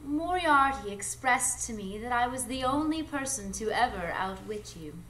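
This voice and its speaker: A woman playing an alluring, ageless, mysterious adventuress in a live radio drama, speaking in a measured, coy, theatrical way. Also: on the high side, animated, a bit bright. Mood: poised, confiding. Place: live performance space before an audience. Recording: noisy live stage recording.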